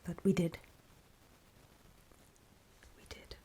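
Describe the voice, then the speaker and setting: A woman playing a wary, battle-worn survivor of a zombie apocalypse, speaking in a breathy, deliberate whisper in an ASMR horror roleplay. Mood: wary, instructive. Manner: hushed, deliberate, halting storytelling with pauses.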